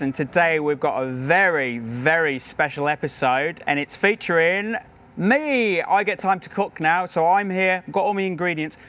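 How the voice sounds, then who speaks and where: A man's voice: sits high, a bit bright. A man with a British accent, a professional chef giving a cooking demonstration at a barbecue grill in an upbeat, chatty way.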